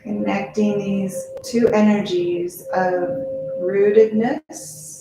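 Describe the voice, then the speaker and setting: Slow, echoing woman guiding meditation. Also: medium pitch, fairly even intonation. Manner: gentle, unhurried, with short spaced-out phrases. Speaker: yoga teacher. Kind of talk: guided meditation, yoga nidra instruction. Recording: very echoey, music under the voice. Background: music.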